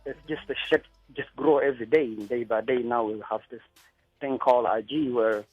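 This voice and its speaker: A man's voice: medium pitch, fairly even intonation, moderate pace, darkish tone, breathy edge, no echo. A man from South Sudan calling in to a radio talk show, speaking earnestly and repeating himself often. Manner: earnest, repeats himself, many "you know what I mean". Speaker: caller. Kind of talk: phoned-in radio call. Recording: lo-fi phone line with faint music.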